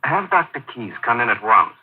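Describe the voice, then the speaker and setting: An actor playing a grave, focused surgeon who gives clipped orders in a 1950s radio drama. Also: male voice, medium pitch, big pitch swings, normal speed, breathy edge. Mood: calm concern. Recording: muffled, tinny old radio broadcast.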